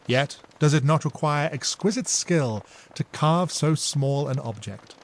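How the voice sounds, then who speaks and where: Man doing a gentleman detective, formal, courteous, polished, varied melody. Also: fairly high pitch, animated, a little slow.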